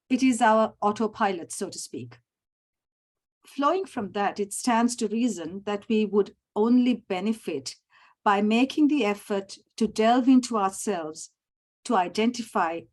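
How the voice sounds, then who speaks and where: A middle-aged woman from Sri Lanka, giving a formal prepared presentation over a video call. She is measured and often clears her throat. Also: mid-range voice, darkish tone. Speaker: summit presenter.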